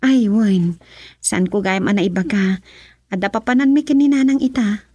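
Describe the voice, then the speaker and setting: An actress playing a busy, chatty village wife in an Ilocano comedy radio drama, with an Ilocano Filipino accent and a conversational manner. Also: female voice, mid-range voice, moderate pace, a little mellow, breathy edge, no room sound, lightly acted. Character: busy, chatty village wife giving her husband chores.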